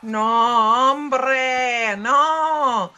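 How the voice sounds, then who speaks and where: Woman acting bright, brassy, sassy Spanish wife. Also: high voice, drawn-out words, heavily acted. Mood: brash, curious. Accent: Spanish, from Spain. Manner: brash, scolding, teasing. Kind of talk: humorous radio soap acting.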